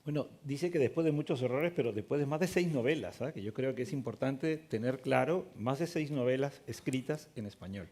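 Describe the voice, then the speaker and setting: A man moderates a literary panel in a relaxed, conversational way. His voice is mellow and dark in tone. He puts questions to the writers and tosses in light jokes. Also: mid-range voice, fairly quick, few pauses.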